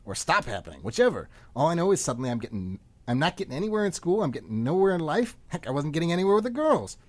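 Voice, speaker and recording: A man acting in a comic radio play as a frantic, rambling middle-aged man under arrest, excitable and often interrupting himself. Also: a little high, quick pace. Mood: agitated, confessional.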